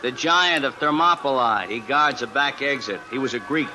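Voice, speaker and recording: A jokey, talkative fun house attendant explains things in a very sharp, mid-pitched voice. His folksy delivery is classic radio suspense, with faint music and rain behind. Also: normal speed. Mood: explaining. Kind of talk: old-time radio suspense acting.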